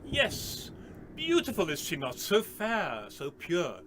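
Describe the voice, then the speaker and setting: An actor in a radio drama playing a fanatical, sinister cult leader with a theatrical, unctuous, preaching manner. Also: male voice, high voice, wildly swooping pitch, slow pace, background noise, heavily acted. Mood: gleeful menace.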